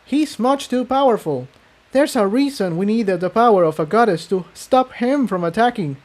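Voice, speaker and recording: A man plays an anxious female pony leader in a fantasy storybook. She speaks in a raised pitch, tense, grave and urgent. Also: expressive, moderate pace.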